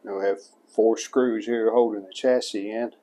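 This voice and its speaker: A man giving casual hands-on narration of a repair as a hobbyist restorer, plain-spoken and unhurried, thinking aloud as he works. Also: lowish voice, steady tone, mellow.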